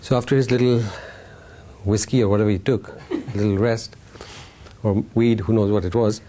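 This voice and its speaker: A man's voice: lowish voice, fairly even intonation, moderate pace, warm tone, a little hiss or hum. A man giving an informal, playful talk as a spiritual teacher, telling a story to a group and checking in with his listeners. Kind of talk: animated storytelling Dharma talk to a live group.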